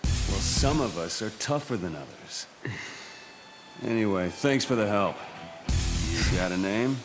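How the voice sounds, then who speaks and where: Casting: young game hero, brash, stubborn, casually cocky; heavy score underneath. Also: male voice, on the low side, expressive, unhurried. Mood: cocky, easygoing. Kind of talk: dramatic video game voice acting. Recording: loud game music behind the voice.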